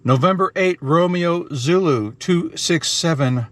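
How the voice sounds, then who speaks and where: A man's voice: on the high side, unhurried, a bit bright, no echo. A man casually narrating as an amateur radio operator, switching between explanation and phonetically spelled contest calls, recorded inside a car. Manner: spells out call signs and numbers in phonetic alphabet.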